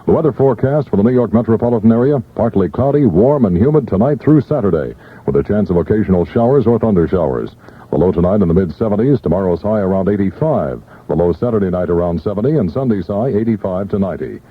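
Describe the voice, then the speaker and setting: Male news anchor, lowish husky voice, formal rapid-fire bulletin read, muffled vintage AM sound. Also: animated. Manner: brisk, formal newsreader delivery. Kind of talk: scripted news and weather bulletin. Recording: muffled vintage AM radio broadcast.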